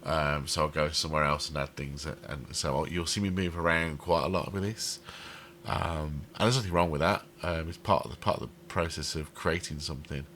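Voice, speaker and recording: Super deep guy with a cold, sounds throaty, rambling on about his build, um um. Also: fairly even intonation, fairly quick, fairly bright tone, a little hiss or hum. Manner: rambling, many ums and restarts. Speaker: channel host building a theme park in a game. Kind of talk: casual commentary over gameplay.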